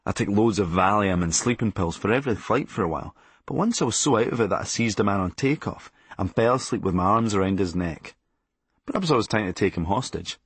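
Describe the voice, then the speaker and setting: A man's voice: on the low side, brisk, slightly rough, dry recording. A Scottish man narrating a comic memoir in a sardonic, darkly comic and blunt manner. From Scotland. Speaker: comedian narrating a memoir audiobook.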